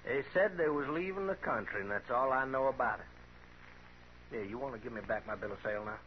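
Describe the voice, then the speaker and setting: An actor playing a domineering, snappish rancher in a radio Western, with a rural American accent. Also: male voice, medium pitch, fairly quick, lightly acted. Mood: defiant, wary. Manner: snappish, defiant. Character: domineering, mean young rancher bullying his mother. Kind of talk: 1950s radio Western acting. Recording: muffled, noisy 1950s radio recording.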